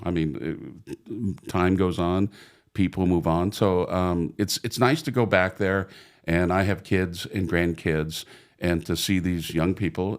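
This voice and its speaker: A man in his sixties from Oregon, a longtime radio broadcaster, talking casually as a guest, self-deprecating, laughing easily and repeating words as he goes. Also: low-pitched. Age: 60s. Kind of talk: casual interview talk.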